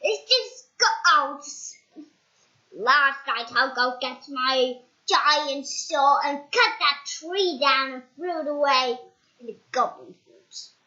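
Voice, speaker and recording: A child voicing a rude, blustering storybook gentleman in a bossy shout, with some dragged-out words. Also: high-pitched, takes it slowly, heavily acted. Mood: grumpy, scornful. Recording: dull, echoey home recording.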